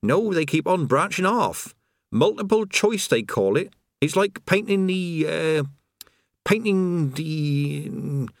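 A man doing a breezy creator of worlds who chats like a workman on a job, his voice bobbing up and down in a lively way. He sounds a little higher than an everyday voice, and the recording is dry and close. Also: no room sound. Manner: chatty, rambling, casual workman's talk. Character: cheerful absent-minded male creator of worlds, chatty tradesman.

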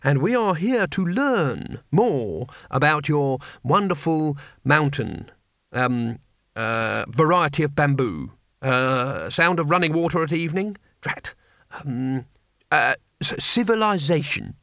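A man voicing a courteous, bumbling old man who speaks haltingly and searches for words, in a comic fantasy audiobook. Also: on the high side, big pitch swings, bright, forceful tone, no room sound, clean studio sound, heavily acted. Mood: halting, polite. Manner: halting, word-searching, overly polite.

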